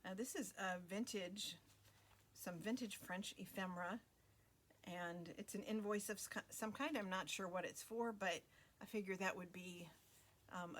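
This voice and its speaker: A woman hosting a craft video, talking casually through a flip-through with many fillers and pauses. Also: mid-range voice, a bit bright, a little hiss or hum.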